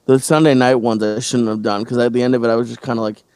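A man hosting a casual podcast, talking in halting bursts with many restarts and fillers. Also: medium pitch, level tone, darkish tone, no echo. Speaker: podcast host and stand-up comic.

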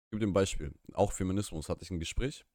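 A man's voice: low-pitched, fast talker, mellow, no room sound, clean studio sound. A young man whose first language is Russian, co-hosting a podcast in a casual, rambling, opinionated way with many restarts. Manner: rambling, self-correcting, opinionated. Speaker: podcast co-host. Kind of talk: casual chat. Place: small room, live stream.

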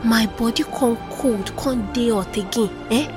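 A woman's voice: a little high, a little slow, close to an everyday voice. A woman acting an outspoken, protective village woman in a radio drama, with a Nigerian accent and a dramatic, reproachful delivery. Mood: earnest awe. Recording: music clearly behind the voice.

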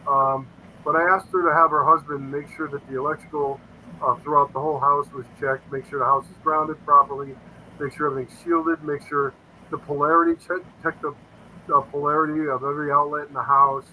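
Man in his fifties, bright forceful tone, somewhat high voice, rambling and earnest, faint hum behind. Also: flat delivery, a little fast, poor audio. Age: 50s. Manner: rambling, earnest, quotes himself often. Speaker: paranormal investigator guest. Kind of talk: casual interview storytelling.

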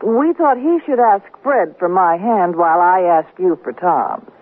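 A woman's voice: fairly low pitch, a little slow. A woman playing a frank, self-assured woman in love in a 1970s radio comedy drama. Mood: confiding, pleased. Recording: tinny narrow-band radio recording.

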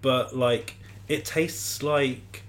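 A man's voice: mid-range voice, fairly even intonation, very slow. A man in his thirties, a guest on a podcast, talking in a laid-back casual way and laughing often. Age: 30s.

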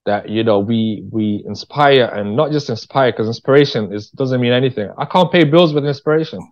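A man's voice: mid-range voice, fairly quick. Male, casual interview guest. Candid, slangy, emphatic delivery, mid-range voice, slightly dull lo-fi sound. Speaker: interview guest, screenwriter and director. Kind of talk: casual chat.

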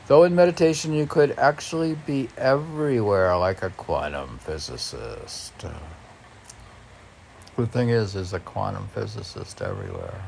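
Male reader, unhurried and gravelly, musing informally aloud, slight background noise. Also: lowish voice. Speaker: podcast host reading and commenting on poems. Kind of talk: informal read-aloud with casual musing commentary.

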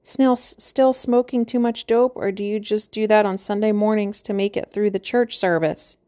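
A woman's voice: medium pitch, steady tone, normal speed, dark tone, close to an everyday voice. A woman voicing a matronly, teasing small-town postmaster with a Midwestern accent and a chatty, joking manner.

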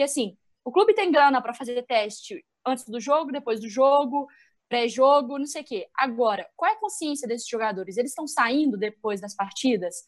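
A woman with a Minas Gerais accent, a sports journalist guest giving animated, opinionated views on a video call. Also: high-pitched. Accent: Minas Gerais Portuguese. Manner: animated, opinionated, thinks aloud with restarts. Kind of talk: casual video-call discussion.

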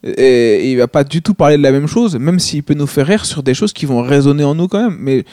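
Comedian type, male, thinks aloud in halting chat; a little high-pitched, recorded dry. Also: lively intonation, warm tone, dry recording. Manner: hesitant, many restarts and fillers. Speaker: stand-up comedian. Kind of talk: casual conversation.

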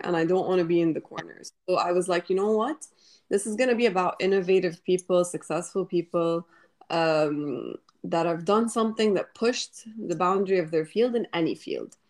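A woman in her late twenties with an Egyptian accent speaks in a fairly low voice. She talks in a relaxed, reflective way, restarting phrases and dropping Arabic words into her English, and Arabic is her first language. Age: 20s. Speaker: podcast host and writer. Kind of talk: casual interview talk.

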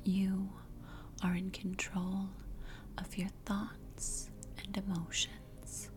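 A woman speaks in a breathy, soothing whisper as a hypnotist guiding someone to sleep. Her delivery is extremely slow and even, with long pauses and gentle repetition. Music plays clearly behind her. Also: on the low side. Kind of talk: ASMR whispered guided hypnosis.

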